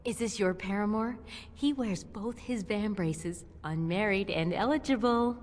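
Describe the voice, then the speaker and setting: A woman's voice: mid-range voice, a little slow, close to an everyday voice. Woman acting a flirty dwarf maiden in reverb. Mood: flirtatious. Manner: coquettish, flattering. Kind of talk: video game voice acting. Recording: reverberant hall echo.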